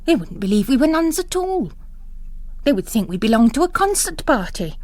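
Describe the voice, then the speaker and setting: A woman's voice: sits high, big pitch swings, darkish tone, no room sound, voice pushed up. A woman doing a bossy older nun in charge, gossipy and scornful, voice swooping about, dry sound. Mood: scandalised, dismissive. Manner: brisk, scornful, emphatic. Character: bossy, disapproving, gossipy older mother superior of a convent.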